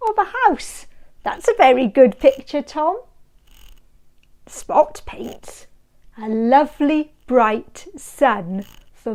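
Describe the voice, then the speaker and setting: A woman reading a picture book aloud to small children, sing-song and inviting, drawing the listener in with questions and hushed counting. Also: on the high side. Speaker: storybook reader.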